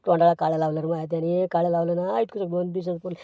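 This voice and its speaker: Man playing a crying village father, gravelly voice, dry close sound. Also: fairly high pitch, flat delivery, racing, no echo, highs cut off, lightly acted. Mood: desperate lament. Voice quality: creaky, tearful wailing. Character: grieving young village father, wife fled. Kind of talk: village comedy skit acting.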